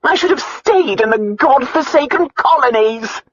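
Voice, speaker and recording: An older countess in a storybook drama, shrill and very high, swooping through indignant wails and whines. She is greedy and full of self-pity, stretching her words out. The sound is slightly dull and lo-fi. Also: big pitch swings, a bit bright, breathy edge, dry recording, exaggerated voice. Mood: bitter self-pity. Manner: wailing, whining, indignant, drawn-out words. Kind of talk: audiobook character acting.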